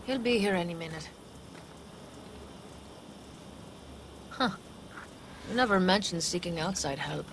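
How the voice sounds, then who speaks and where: A woman's voice: lowish voice, expressive, a little fast, a little hiss or hum. An actress playing a skeptical, exasperated nomad woman in a video game.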